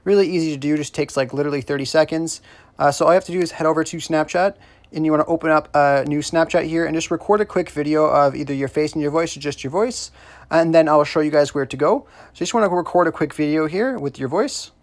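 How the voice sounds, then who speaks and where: Higher-pitched man giving a casual tutorial. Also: fast talker. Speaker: tutorial host.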